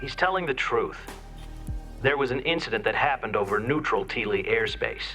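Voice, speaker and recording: An actor playing a forceful, accusatory male senator, exasperated and indignant, in a video game cutscene. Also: mid-range voice, animated, normal speed, faint music behind. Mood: firm.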